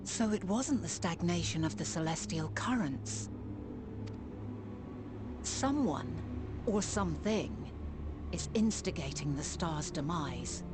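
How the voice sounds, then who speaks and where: A woman's voice: on the low side, expressive, takes it slowly, long pauses, music in the background. An actress playing a serious, perceptive woman in a fantasy video game, speaking in a direct and earnest way. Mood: grave. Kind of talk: dramatic video game acting.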